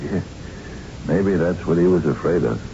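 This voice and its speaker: An old gym regular in a radio play, with an extremely deep, creaky voice. He is halting and evasive, musing as he talks. The old broadcast is dull and clearly noisy. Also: lively intonation, warm tone. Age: older. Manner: halting, hesitant. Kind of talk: radio drama acting.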